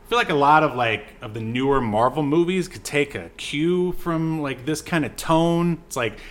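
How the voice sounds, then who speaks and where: A man hosting a podcast, casually discussing a film in a halting, agreeable, conversational way. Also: fairly high pitch, lively intonation. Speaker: podcast host reviewing films.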